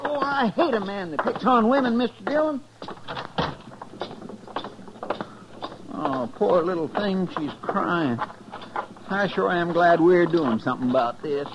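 An actor playing a folksy, deferential, soft-hearted sidekick in a radio western, with an American Western accent. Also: male voice, high-pitched, lively intonation, normal speed, lo-fi sound. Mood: indignant. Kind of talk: mid-century radio western acting. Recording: noisy, crackly old radio recording.

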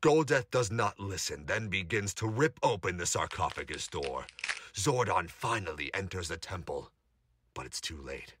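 A man narrating a scripted audio drama in a dramatic storytelling style. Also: very deep voice. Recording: noisy home recording with clicks.

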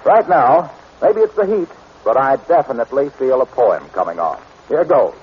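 Male vintage radio announcer, very husky, lively pitch, jovial salesman delivery, muffled old recording. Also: normal speed.

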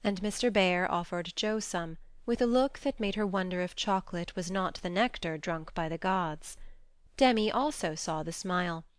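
A woman's voice: lowish voice, normal speed, clear, resonant tone, dry recording. A woman reading scripted storybook narration as an audiobook narrator.

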